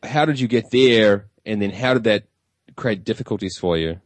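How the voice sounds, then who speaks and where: Deep-voiced male radio host, dry sound. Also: normal speed, no room sound. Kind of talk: conversational radio interviewing.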